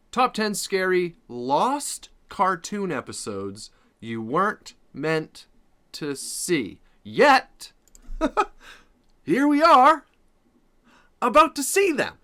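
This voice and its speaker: Casting: male vlog host, 30s, bright forceful tone, lively swings, jokey and self-deprecating. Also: high-pitched, very slow. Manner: playful, self-mocking, nervous jokiness. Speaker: reaction video host. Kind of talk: casual chat to viewers.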